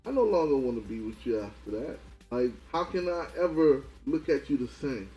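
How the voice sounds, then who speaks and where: A man's voice: medium pitch, expressive, moderate pace, slightly rough, lo-fi sound, faint music behind. A man co-hosting a relationship podcast in casual chat, pressing his point and repeating it. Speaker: podcast co-host.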